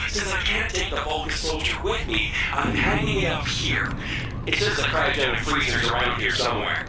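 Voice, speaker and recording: An actor playing an excitable, chatty, playful young soldier in a video game, rambling theatrically. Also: male voice, a little high. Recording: roomy, distant, under loud music.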